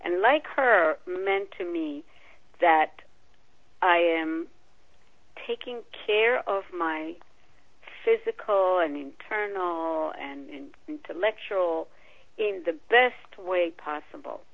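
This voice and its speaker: Slow Israeli-born woman on a phone line. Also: lowish voice, animated, vocal fry. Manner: thoughtful, halting, hesitant. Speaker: guest. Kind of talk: interview answers.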